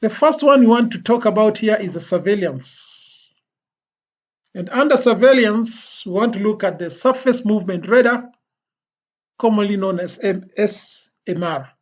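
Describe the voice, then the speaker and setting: An instructor giving an explanatory lecture in a deliberate, phrase-by-phrase way with pauses. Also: medium pitch. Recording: dull, lo-fi voice recording.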